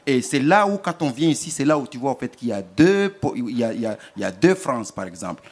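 Man, francophone African accent, middle pitch, colloquial, emphatic, plain-spoken, conversational panel talk. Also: sing-song, fast talker.

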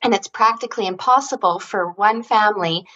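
A woman's voice: mid-range voice, a little fast, bright, forceful tone, muffled recording, a little hiss or hum. A woman from Canada, a former physiotherapist who now runs an advisory service for seniors, explaining her work fluently and earnestly in an interview. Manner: articulate and earnest, fluent explanation with occasional fillers. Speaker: guest, senior care advisory service founder. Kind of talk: interview answers.

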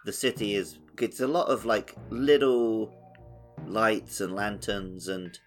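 A man's voice: on the low side, fairly even intonation, slow, soft background music. A man co-hosting a gaming podcast, chatting casually in a wry, conversational way. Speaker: podcast co-host.

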